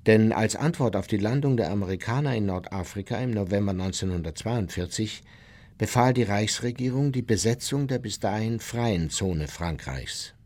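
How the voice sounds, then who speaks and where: A man acts out an unrepentant war criminal giving testimony in a radio drama. His voice is fairly low and rings in a long hall reverb. He is blunt and matter-of-fact, coldly justifying himself. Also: animated, normal speed, a little mellow, close to an everyday voice. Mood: matter-of-fact, self-justifying. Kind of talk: German radio play, interview testimony.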